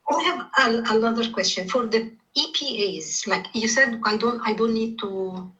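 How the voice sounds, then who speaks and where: A woman's voice: a little high, normal speed, a bit bright, muffled recording. A woman trainee doctor from Egypt, asking questions in a hesitant way with many restarts. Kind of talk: casual chat.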